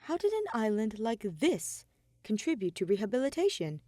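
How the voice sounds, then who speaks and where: A woman's voice: fairly high pitch, big pitch swings, moderate pace, dark tone, no echo, studio quality. A woman reading scripted fiction aloud as the narrator of an audio story.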